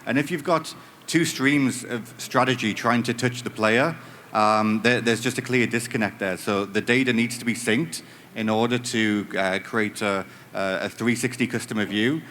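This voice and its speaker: A man's voice: mid-range voice, fairly even intonation, moderate pace, fairly bright tone. Guy on a conference panel, lots of um and uh, faint hall hum. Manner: hesitant, many fillers. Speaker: panelist, business director. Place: conference stage.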